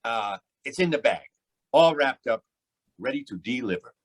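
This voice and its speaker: A man's voice: mid-range voice, lively intonation, normal speed, natural voice. A man playing a cocky, stubborn racketeer boss in an old time radio crime drama, with brash, slangy tough-guy talk. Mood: smug.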